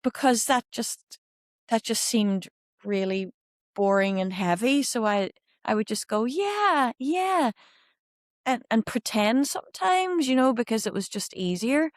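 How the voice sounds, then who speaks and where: A woman in her fifties with a Belfast Irish accent, telling her life story as an interview guest in a candid, animated way with quoted asides. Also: a little high, measured pace, no echo, clean studio sound. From Northern Ireland. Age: 50s. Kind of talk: reflective interview conversation.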